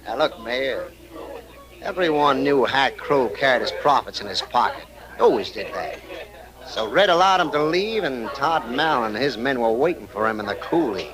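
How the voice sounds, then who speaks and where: An American actor playing a sly, menacing saloon owner in an old-time radio western, talking in a smooth, taunting manner. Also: male voice, medium pitch, lively intonation, moderate pace, crowd noise, lightly acted, poor audio. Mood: scornful. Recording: vintage radio drama with music.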